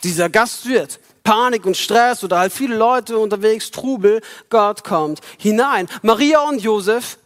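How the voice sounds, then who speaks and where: A man's voice: high-pitched, a little slow, hardly any pauses, a bit bright. Man, preacher, Christmas sermon, reflective, speaks straight to listeners, long hall echo. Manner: reflective, addresses listeners directly.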